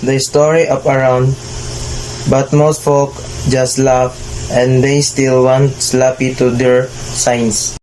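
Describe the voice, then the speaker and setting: A man's voice: mid-range voice, flat delivery, takes it slowly, a little mellow, slight background noise, low-quality recording. A man narrating a scripted tall tale for readers' theater.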